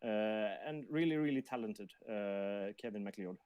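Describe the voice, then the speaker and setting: A man's voice: fairly low pitch, unhurried, clean tone, no echo, highs cut off. Hesitant, enthusiastic man, close dry sound. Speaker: sound and music person on a game team. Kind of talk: casual livestream talk.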